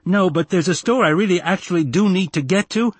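A man's voice: high voice, fairly quick, slightly rough, no echo, voice pushed up. A man voicing a young boy who pesters and pleads insistently. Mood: pestering. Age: child.

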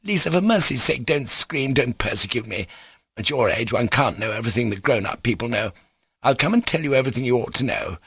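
A man's voice: medium pitch, expressive, brisk, a bit bright, breathy edge, no echo, clean studio sound, full character voice. A man voicing a gushing, flighty middle-aged society mother who exclaims and repeats herself in an audiobook story. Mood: flustered scolding. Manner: effusive, repetitive, exclaiming.

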